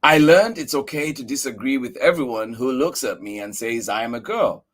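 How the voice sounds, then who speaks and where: A man reading a picture book aloud for children, expressive and earnest, with emphatic delivery. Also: medium pitch, moderate pace, a little hiss or hum.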